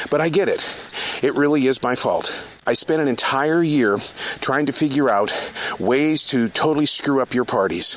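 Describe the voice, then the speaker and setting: A man's voice: medium pitch, a bit bright, slight background noise. A man from the American South, hosting his podcast as a chatty, sarcastic work diary recorded while walking around a retail store. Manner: chatty, wry, sarcastic, restarts often. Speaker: podcast host. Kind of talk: casual spoken work diary.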